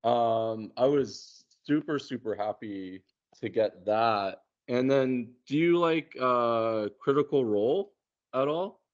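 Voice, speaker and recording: A man in casual conversation, talking in a hesitant, halting way and often repeating words. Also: medium pitch. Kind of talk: casual chat. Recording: muffled, dull lo-fi sound.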